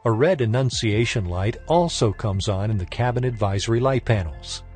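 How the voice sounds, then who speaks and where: A man reading a scripted technical training lesson in a formal, measured, instructional manner. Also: on the low side, expressive, a little mellow, faint music behind. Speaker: instructor narrator.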